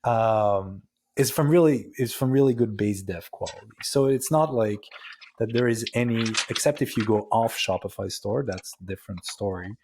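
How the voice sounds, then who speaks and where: A man's voice: on the low side, normal speed, noisy background. A man with a Dutch accent, a podcast guest talking casually and often restarting his sentences. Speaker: guest, ecommerce agency founder.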